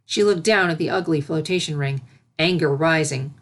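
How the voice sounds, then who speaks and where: A woman's voice: low voice, normal speed. A woman reading scripted story narration as the narrator.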